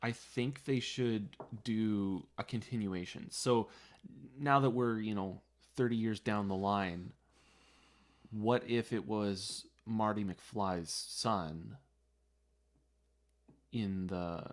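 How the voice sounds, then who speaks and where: Relaxed co-host, man with a lowish voice, mulling ideas aloud. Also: takes it slowly. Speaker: podcast co-host. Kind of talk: casual chat.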